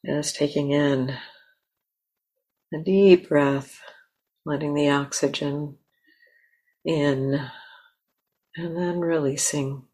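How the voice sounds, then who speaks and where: A woman leads a guided meditation in a low voice. She speaks very slowly and gently, setting down short phrases and leaving long, unhurried pauses between them. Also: steady tone, rough recording. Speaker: meditation teacher.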